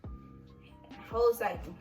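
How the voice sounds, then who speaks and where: Animated, profane young woman. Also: takes it slowly, roomy sound, recorded from a distance, soft background music. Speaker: vlog co-host, army veteran. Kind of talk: casual storytelling chat.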